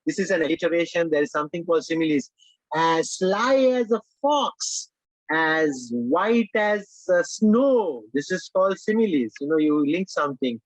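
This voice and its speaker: A man from Sri Lanka who speaks English as a second language, leading a speech-writing workshop with animated emphasis and frequent direct address to his audience. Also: a little high, moderate pace, dry recording, rough recording. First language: Sinhala or Tamil. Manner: animated, emphatic, repeats "my dear friends". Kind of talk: online workshop lecture.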